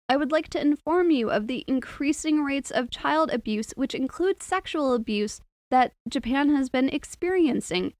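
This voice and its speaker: A woman's voice: sits high, no echo. A woman delivering a scripted, argumentative video essay.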